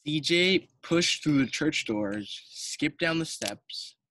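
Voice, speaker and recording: A man's voice: on the high side, animated, slow, bright, forceful tone, no echo, rough recording. A teenage boy from Colombia whose first language is Spanish, reading a picture book aloud to children with many hesitant ums. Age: teens. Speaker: student reader.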